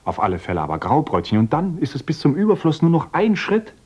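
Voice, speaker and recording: An actor playing an earnest, idealistic young village teacher in a radio play who lectures eagerly and swings between gentle talk and rapturous visions. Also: male voice, a little high, wildly swooping pitch, fast, dull audio, a little hiss or hum. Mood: earnest, dreamy.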